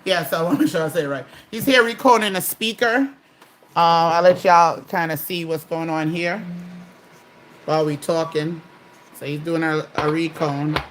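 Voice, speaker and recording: A woman's voice: deep voice, normal speed, a little hiss or hum. A woman casually hosting a live stream, chatting and reading viewer comments aloud.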